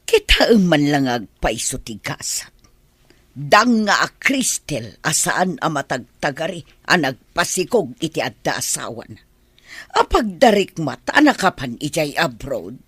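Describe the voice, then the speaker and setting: A woman playing a resentful, disapproving gossip with a husky voice in a radio drama. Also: sits low, very animated, measured pace, a bit bright, dry recording, full character voice. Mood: scornful. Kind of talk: Ilocano radio drama acting.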